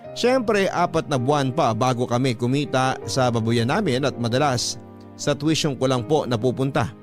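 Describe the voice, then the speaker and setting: Filipino male radio storyteller over soft music. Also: medium pitch. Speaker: narrator reading a listener's letter.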